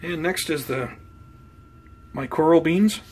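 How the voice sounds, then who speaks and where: Guy doing a chill home vlog voiceover, conversational, not rushed, bit of room noise in the back. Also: fairly high pitch, a little mellow. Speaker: plant hobbyist vlogger. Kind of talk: casual narrated show-and-tell. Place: indoors at home by plant shelves. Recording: clear background noise, room ambience.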